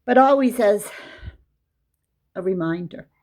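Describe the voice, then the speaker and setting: Woman teaching quran verses, talks slow and easy, explains as she goes. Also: mid-range voice, expressive, a little mellow. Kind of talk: casual religious lesson.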